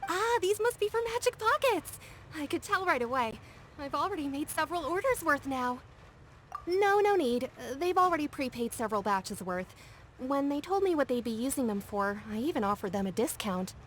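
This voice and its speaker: A woman's voice: sits high, expressive, moderate pace, a bit bright, a little hiss or hum. A woman playing a friendly, practical female workshop merchant in a video game. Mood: welcoming.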